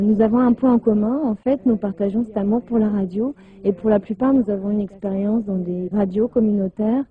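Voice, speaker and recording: A woman explaining a youth radio project in a measured, plain way. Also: medium pitch. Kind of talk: documentary commentary. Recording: tinny phone-like band, faint hiss.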